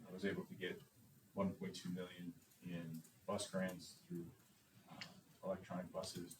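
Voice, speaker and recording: A man answering interview questions for a school superintendent job, speaking in a confident, measured way. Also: low voice. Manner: confident, measured, self-assured. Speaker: superintendent candidate. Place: meeting room. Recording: echoey room, distant mic, faint noise.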